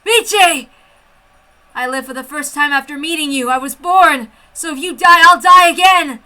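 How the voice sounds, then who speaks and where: A woman voicing a terrified, desperate Japanese soldier man, shouting frantically and melodramatically as she reads a story aloud. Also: high voice, moderate pace, voice pushed up. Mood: anguished.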